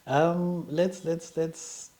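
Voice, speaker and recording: A woman's voice: on the low side, steady tone, drawn-out words, warm tone. A woman hosting a podcast interview, asking questions with restarts and brief affirmations. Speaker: podcast host.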